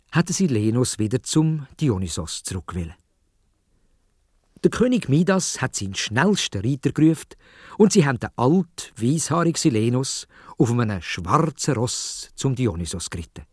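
A man with a Swiss German accent telling a children's story in a dramatic, expressive way, speaking directly to young listeners. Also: medium pitch, husky, no room sound, studio quality. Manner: dramatic, addresses young listeners with questions and exclamations.

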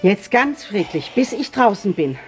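An actress playing a tough, insistent detective agency boss in a radio crime drama. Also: female voice, mid-range voice, very animated, measured pace, faint music behind. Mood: firm, warning. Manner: commanding, insistent.

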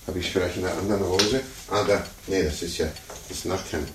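An actor playing a fussy, condescending older gentleman in a 1950s radio play, muttering to himself and talking down patronizingly. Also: deep voice, fairly even intonation, a little fast, lightly acted. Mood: genial, fussy. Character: condescending, fussy, self-important older gentleman chess amateur. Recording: roomy, noisy 1950s radio recording.

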